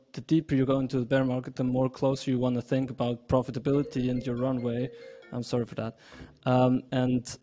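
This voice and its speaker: Young man from Switzerland, mellow and even. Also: medium pitch. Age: young adult. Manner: earnest, hesitant with many restarts. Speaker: startup CEO panelist. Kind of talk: video call panel discussion. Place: small room at home. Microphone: large podcast mic.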